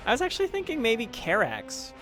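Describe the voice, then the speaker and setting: A man's voice: extremely high pitch, huge pitch swings, brisk, fairly bright tone, soft background music. A man hosting a casual gaming chat with a co-op partner, chatty and laughing often. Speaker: host.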